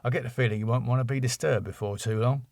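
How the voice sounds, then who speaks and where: Man as sitcom office worker, British, casual, brisk, considerate, very dry recording. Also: mid-range voice, fairly even intonation, darkish tone, no echo, lightly acted. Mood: casual, discreet.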